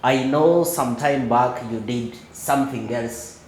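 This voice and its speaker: A man hosting a podcast interview, gently prompting his guest and murmuring "mm" along as he listens. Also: mid-range voice, slow, reverberant, slight background noise, low-quality recording. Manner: encouraging, frequent backchannel "mm", gentle prompting. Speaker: podcast host.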